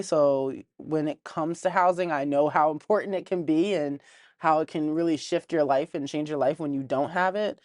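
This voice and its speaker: A woman's voice: low-pitched, darkish tone, no room sound, clean studio sound. A young woman from Wilmington, Delaware, a community organizer talking earnestly in a casual interview and laughing often.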